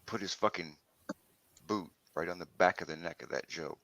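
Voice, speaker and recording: A man's voice: low voice, moderate pace, pushed and bright, no echo. A man chatting casually in a coarse, wry manner. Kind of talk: casual chat.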